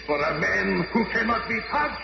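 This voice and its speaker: An actor playing a gloating, megalomaniac villain scientist in a theatrical 1970s radio drama style. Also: male voice, sits high, moderate pace, vocal fry. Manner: theatrical, declamatory. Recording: reverberant, noisy old radio recording.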